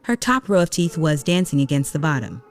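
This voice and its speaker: A woman's voice: low-pitched, sing-song, brisk, soft background music. A woman reading scripted story narration for an audio drama.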